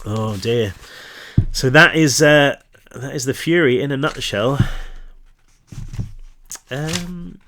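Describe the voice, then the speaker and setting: A man with a stuffy, congested nose reading his own horror novel aloud slowly and deliberately, then chatting with fillers between passages. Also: medium pitch, a bit bright, a little hiss or hum. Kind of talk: dramatic horror book reading.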